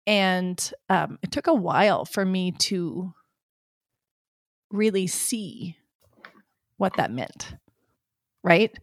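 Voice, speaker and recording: Woman podcast host, lower voice, reflective, dry close recording. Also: lively intonation, very slow, pauses often, dry recording. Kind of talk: interview conversation.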